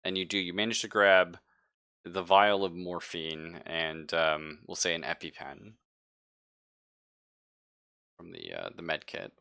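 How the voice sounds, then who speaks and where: A man's voice: low voice, level tone, measured pace, creaky, pauses often, pushed and bright, smooth, dry recording, clean studio sound. A man narrating a tabletop roleplaying game as game master, casual and joking, with some swearing. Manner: casual, profane, joking asides.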